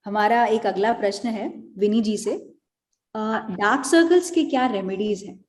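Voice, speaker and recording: A woman's voice: medium pitch, moderate pace, darkish tone. A woman politely reading out viewer questions to a guest. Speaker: show team member reading viewer questions.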